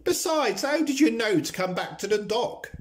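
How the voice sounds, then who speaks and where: A man's voice: very high-pitched, expressive, normal speed. A man playing a saucy, doting female baker, a pantomime dame in a comic radio play. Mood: saucy, chiding.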